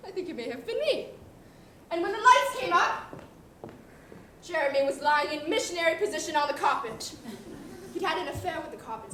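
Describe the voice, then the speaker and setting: A young woman on stage playing a melodramatic society lady in a murder farce, speaking in an arch, declamatory way. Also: very high voice. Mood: dramatic recounting. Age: young adult. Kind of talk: comedic student stage acting. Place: theatre stage. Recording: echoey stage, audience, faint noise.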